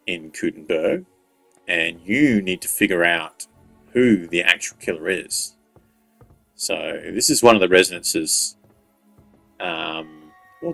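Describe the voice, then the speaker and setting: A man giving casual, unscripted video game walkthrough commentary in a halting, pause-filled way. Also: sits low, gravelly, fairly bright tone, dry recording, poor audio. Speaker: gaming video creator giving walkthrough tips. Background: faint game music.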